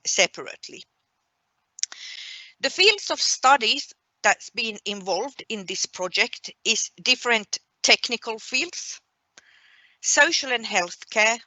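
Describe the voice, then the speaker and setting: A woman presenting a webinar as its host and coordinator, speaking in a deliberate, explanatory way. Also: medium pitch, sharp tone, no room sound, low-quality recording. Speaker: project coordinator hosting a webinar.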